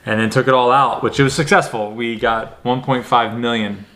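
A young man joins his partner's vlog, chatting in a relaxed, joking way. He adds quick asides and throws in playful comparisons. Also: medium pitch. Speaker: vlog guest, partner of host. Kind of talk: casual couple vlog chat.

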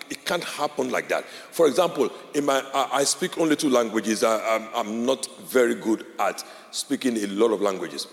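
A man, a Yoruba speaker, teaching a Bible class as a pastor. He explains step by step, repeats key phrases and keeps checking in with his listeners. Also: medium pitch. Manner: explains step by step, checks in with "all right?" and "okay?". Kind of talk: classroom-style teaching talk. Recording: long hall reverb.

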